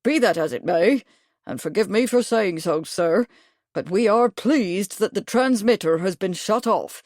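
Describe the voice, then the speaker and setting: A woman's voice: mid-range voice, expressive, unhurried, darkish tone, dry recording, natural voice. Fussy old military man, pompous and long-winded, read by a woman narrator in a dry studio. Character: fussy older male retired major, pompous.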